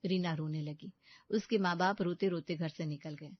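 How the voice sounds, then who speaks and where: A woman's voice: fairly low pitch, steady tone, moderate pace, no room sound, highs cut off. Woman storyteller, dry close sound. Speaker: storyteller, narrator. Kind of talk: scripted story narration.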